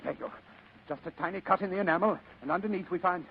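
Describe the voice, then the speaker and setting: An actor playing a courtly, scheming middle-aged criminal mastermind in 1940s radio style, speaking in florid, formally polite phrases. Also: male voice, high voice, quick pace, slight background noise, close to an everyday voice. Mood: eager. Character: courtly, scheming older male criminal mastermind, the Fat Man. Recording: muffled vintage radio recording.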